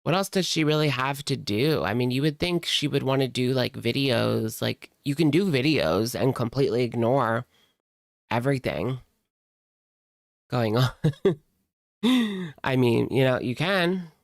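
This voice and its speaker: A woman with a gravelly, fry-heavy voice talks through casual reaction commentary. She is sassy and slangy, and the sound is dry and close, with hardly any room on it. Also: very deep voice, lively intonation, a little slow, no echo. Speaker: reaction channel host.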